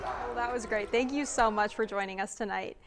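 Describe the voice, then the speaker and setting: A woman's voice: a little high, fairly quick, heavy background noise. A woman host closing her show with an upbeat sign-off.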